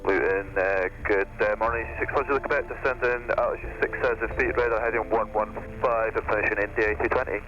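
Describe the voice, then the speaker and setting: A man's voice: fairly low pitch, fairly even intonation, quick pace, hardly any pauses, over music. A man working as an airline pilot, giving clipped readbacks over aviation radio. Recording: muffled radio with faint hum and noise.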